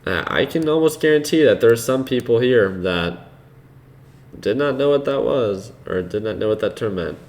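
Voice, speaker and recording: A man hosting a live trading stream, chatting casually with viewers in a relaxed, unhurried way, with a soothing voice and plenty of fillers. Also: medium pitch. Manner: relaxed and unhurried, laughs easily, many fillers. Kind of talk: casual live-stream commentary, reading chat.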